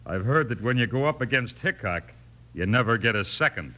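A man's voice: medium pitch, expressive, brisk, natural voice. An actor playing a wary, laconic hired gunman in an old western radio drama. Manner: laconic, slangy. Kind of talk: 1950s western radio acting.

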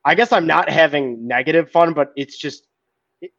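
A man co-hosting a sports podcast, recapping games in a rambling way with many fillers and quiet trailing endings. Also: a little high, moderate pace, gravelly, no echo, highs cut off. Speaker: podcast co-host.

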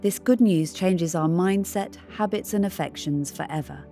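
A woman's voice: on the low side, moderate pace, warm tone, soft background music. A woman reading a scripted devotional narration. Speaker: devotional reader.

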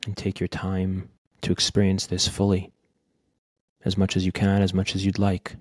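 A man with a deep, low-pitched voice guides a meditation. His delivery is gentle and unhurried, with little rise and fall, and he leaves long pauses between short phrases. The recording is close and dry. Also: completely flat delivery, dry recording. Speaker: podcast host guiding a meditation.

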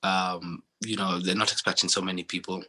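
Young man, lower-pitched and husky, loose conversational storytelling. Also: fairly quick. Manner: relaxed storytelling, frequent fillers and restarts. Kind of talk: casual chat.

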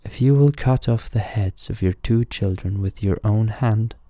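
Man voicing a talking stone, grave, solemn, deep. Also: unhurried, dark tone, dry recording, lightly acted. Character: speaking stone statue, grave and commanding.

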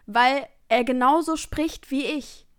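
Young woman, podcast co-host, casual, lively, laughs a lot, smooth clear voice. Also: high-pitched. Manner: lively, laughs often, drawn-out emphasis. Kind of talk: casual chat.

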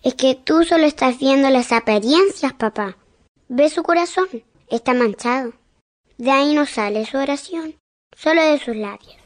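A woman playing a perceptive, gently insistent blind young daughter in a radio drama. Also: high-pitched, moderate pace, lightly acted. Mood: firm, certain.

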